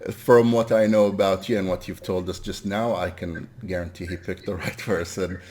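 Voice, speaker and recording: A man with a somewhat deep voice conducts an interview. He speaks conversationally, in a measured way, putting questions and compliments to his guest. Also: fairly even intonation, darkish tone.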